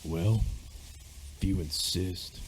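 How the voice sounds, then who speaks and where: A man's voice: low voice, steady tone, takes it slowly, a little hiss or hum. A man playing a reluctant middle-aged sergeant major in an amateur radio play, speaking hesitantly in old-fashioned phrases. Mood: guarded, ominous.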